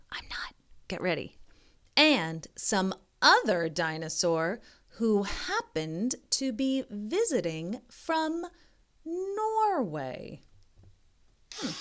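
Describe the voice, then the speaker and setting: A woman's voice: mid-range voice, fairly bright tone, clear, resonant tone. A woman reading a picture book aloud expressively, stopping for teacherly asides to the listener. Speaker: reading tutor.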